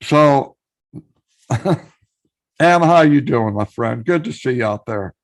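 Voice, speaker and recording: A man with a hoarse voice, chatting casually on his live stream, greeting viewers by name and reading out their messages. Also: medium pitch, expressive, takes it slowly.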